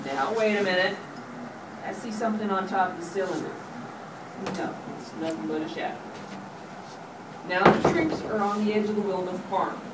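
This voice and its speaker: A woman's voice: medium pitch, close to an everyday voice. A woman playing an army captain reporting from the front in a live radio play. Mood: watchful, uneasy. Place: hotel meeting room, panel table. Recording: distant roomy noisy hand-held camera recording.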